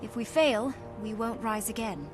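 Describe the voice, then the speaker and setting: A woman's voice: a little high, moderate pace, close to an everyday voice. A woman playing a stern, disciplined military officer in a video game, speaking in a formal, commanding way. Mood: grave, businesslike. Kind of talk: video game cutscene acting. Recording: loud game music behind.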